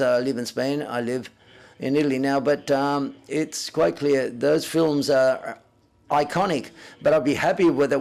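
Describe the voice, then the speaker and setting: A man interpreting live at a press conference, speaking haltingly and often correcting himself as he renders others' words in first person. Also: medium pitch, gravelly, a little mellow.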